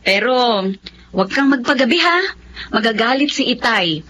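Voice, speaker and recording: Kind, higher-pitched young Filipina. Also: female voice, moderate pace, pushed and bright, dull audio, a little hiss or hum. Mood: gentle caution. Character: kind, responsible young schoolteacher daughter. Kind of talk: Filipino radio drama acting.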